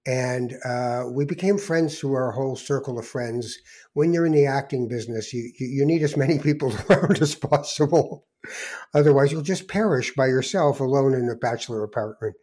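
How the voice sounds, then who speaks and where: Slightly husky older Canadian man, reflective. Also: medium pitch, moderate pace, warm tone. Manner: rambling, reflective storytelling with digressions. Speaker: podcast host. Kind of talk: casual conversational podcast monologue.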